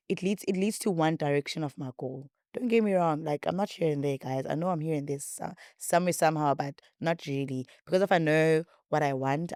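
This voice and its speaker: A young South African woman with a deep, warm, mellow voice, chatting to her listeners in a rambling, repetitive way. Her voice is recorded dry and close, with no room sound. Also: dry recording. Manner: rambling, repetitive, chatty, says she talks a lot. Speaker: podcast host. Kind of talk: casual chat to viewers.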